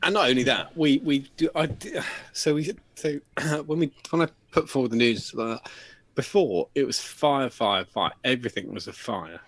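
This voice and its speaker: A man's voice: medium pitch, normal speed, a bit bright, no echo. A man co-hosting a show in casual banter, with a sweary, sardonic, joking manner. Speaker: show co-host presenting news.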